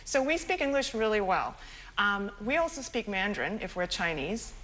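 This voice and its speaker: A woman's voice: medium pitch, very animated, normal speed, a bit bright, low-quality recording. A woman in her thirties from Singapore, a guest author in a morning TV studio interview, chatty and full of fillers. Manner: chatty, laughs often, many fillers.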